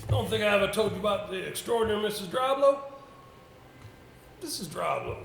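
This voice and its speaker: An echoey theatre recording of an actor as an older male solicitor. He is avuncular and musing, with a gravelly creak and lively swings of pitch as he rambles hesitantly. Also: brisk. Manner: hesitant, musing, throat-clearing. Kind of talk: amateur stage play acting. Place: indoor stage, picked up with room sound. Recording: roomy, noisy amateur theatre recording.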